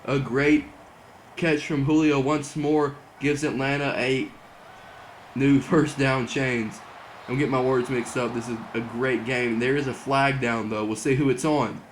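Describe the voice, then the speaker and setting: A young man doing excited mock broadcast commentary over a football video game, saying he is losing his voice. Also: mid-range voice, unhurried, a little hiss or hum, rough recording. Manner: excitable play-by-play, rising to near-shouts on big plays. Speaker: gaming channel host doing play-by-play.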